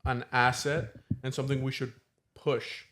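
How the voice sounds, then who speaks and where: A man's voice: medium pitch, little pitch movement, moderate pace, noisy background. American male band member, mid-range voice, asks questions and thinks aloud. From United States. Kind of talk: casual podcast chat.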